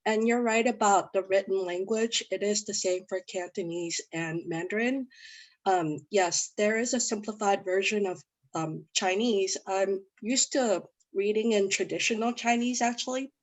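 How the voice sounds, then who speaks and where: A woman from the audience explains her question calmly over a video call, pausing on a word now and then. Her voice is at a middle pitch. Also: poor audio. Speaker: audience member asking a question.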